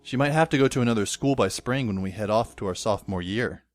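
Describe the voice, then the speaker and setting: A man's voice: lowish voice, fast talker, no room sound, natural voice. A man playing a sardonic, exasperated teenage schoolboy who narrates and acts his own story in an amateur audio drama, wordy and dry. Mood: evasive. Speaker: narrator and lead character. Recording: faint music behind the voice. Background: faint music.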